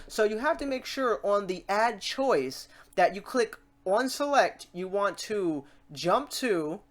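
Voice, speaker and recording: A woman hosting a casual software tutorial, talking informally and reassuringly to the viewer in an animated way. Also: medium pitch, a little hiss or hum. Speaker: tutorial host.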